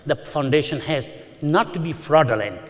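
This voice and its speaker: A man's voice: on the high side, lively intonation, moderate pace, a little hiss or hum. A man on a debate panel answering earnestly in a formal manner, often repeating words, on a lapel mic at a desk. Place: large televised debate hall with audience. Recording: long hall reverb.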